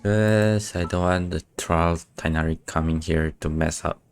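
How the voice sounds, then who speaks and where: Guy with a really low voice streaming a game, muttering along casually, faint music behind. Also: fairly even intonation, measured pace. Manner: muttering to himself, unscripted. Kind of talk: casual live gameplay commentary.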